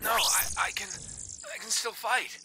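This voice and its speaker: An actor voicing a scrappy, stubborn robot fighter in a video game, informal and strained. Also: male voice, high voice, sing-song, slow, music in the background, heavily acted. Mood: strained, dogged.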